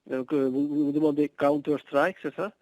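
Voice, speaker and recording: A man's voice: on the high side, little pitch movement, moderate pace, dark tone, no room sound, muffled recording. A man working as a hotel receptionist on a customer service call, polite, patient and hesitant, often repeating himself.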